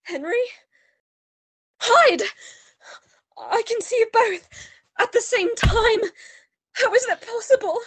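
Woman acting a very high-voiced, distraught gentlewoman. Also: slow pace, long pauses, dry recording, heavily acted. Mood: frightened. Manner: emotive, questioning, theatrical. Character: earnest, distraught, pleading gentlewoman in love. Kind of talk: radio play acting.

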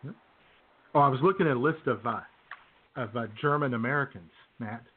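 A male podcast host with a medium-pitched voice, heard through a narrow, phone-like sound. He chats loosely and banters with co-hosts in a jokey, mock-enthusiastic manner. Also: a little fast, breathy edge. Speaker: host of a comedy radio podcast. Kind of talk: casual jokey chat with co-hosts. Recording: tinny phone-like band-limited sound.

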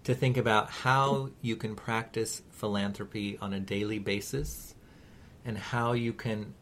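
A man co-hosting a talk radio show, speaking in an earnest, measured and encouraging, reflective way. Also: fairly low pitch, fairly even intonation, a bit bright, a little hiss or hum.

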